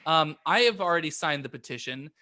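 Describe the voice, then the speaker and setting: A man's voice: fairly high pitch, animated, brisk, bright, forceful tone. A man on a podcast panel in casual comedic chat, insistent and often repeating himself.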